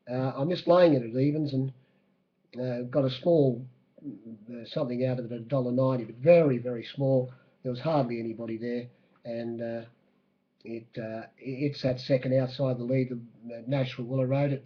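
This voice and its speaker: A husky, croaky Australian man recaps horse races. He speaks haltingly and matter-of-factly, like someone working from memory as a bookmaker. The audio is a little dull and lo-fi. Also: mid-range voice. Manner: halting, hesitant, matter-of-fact. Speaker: racing bookmaker giving a race-day report. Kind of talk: spoken horse racing recap.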